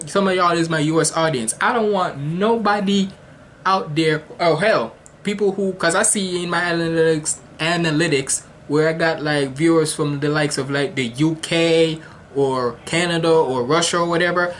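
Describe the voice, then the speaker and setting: A man's voice: on the high side, normal speed, fairly bright tone, a little hiss or hum. A man from Jamaica hosting a reaction video, talking casually and rambling with many restarts and slang.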